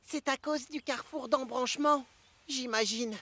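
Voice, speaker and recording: High-voiced friendly cartoon vehicle. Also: female voice, normal speed, fairly bright tone. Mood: reassuring. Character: cheerful, friendly, reassuring talking vehicle. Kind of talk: French cartoon dub acting.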